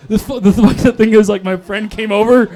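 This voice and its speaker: A man's voice: high voice, fairly even intonation, normal speed, mellow. A man performing stand-up at an open mic, telling stories in a crude, profane, self-deprecating manner. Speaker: stand-up comedian. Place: live open mic comedy show.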